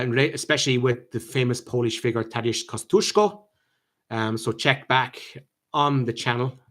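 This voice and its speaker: A man's voice: medium pitch, a bit bright. Hesitant Irish man answering viewer questions. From Ireland. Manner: halting, with many ums and restarts. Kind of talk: live-stream chat reading and answering comments.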